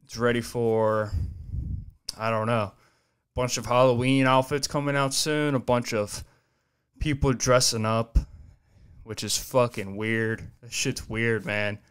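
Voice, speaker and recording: A man's voice: mid-range voice, little pitch movement, measured pace, long pauses, fairly bright tone, smooth. A man of twenty-two hosting his own podcast solo, rambling casually and swearing freely, recorded on a desk mic in a small home studio. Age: 20s. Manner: rambling, loose and profane, self-reflective. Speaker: podcast host. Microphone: desk mic on boom arm, headphones on.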